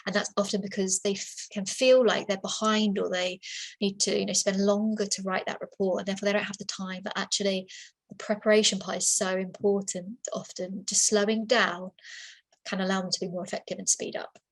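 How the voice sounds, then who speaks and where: A woman's voice: medium pitch, level tone, fairly quick, low-quality recording. A woman giving a webinar talk as a workplace assessor, informal and chatty, with lots of fillers and restarts, over a computer microphone. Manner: informal, repeats herself, many fillers and restarts.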